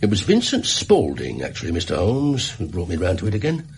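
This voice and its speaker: A man's voice: deep voice, wildly swooping pitch, brisk, vocal fry, darkish tone, husky, full character voice. An actor playing a talkative, gullible, flustered tradesman in a radio drama, rambling and hesitant as he tells his story. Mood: earnest recounting.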